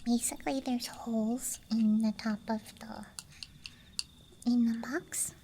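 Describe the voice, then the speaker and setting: A woman whispering softly and breathily in a rambling, hesitant ASMR show-and-tell as a friendly dice goblin, close to the microphone. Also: fairly high pitch, fairly even intonation, slow, a little hiss or hum. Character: friendly dice goblin hoarding her treasures. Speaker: ASMR performer. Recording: close mic, audible ambient night sounds.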